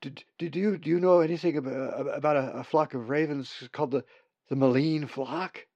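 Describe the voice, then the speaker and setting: A man's voice: medium pitch, a little slow, vocal fry, darkish tone, no room sound. A man voicing a young, wary male raven who stammers nervously. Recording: dull lo-fi recording.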